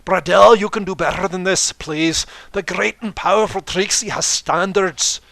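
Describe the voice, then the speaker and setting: Guy doing a snooty show-off girl pony magician, loud and pitched up, indignant, story reading. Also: moderate pace, vocal fry, dry recording. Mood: offended, pleading. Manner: haughty, declamatory, refers to self in third person. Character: boastful, indignant female pony stage magician.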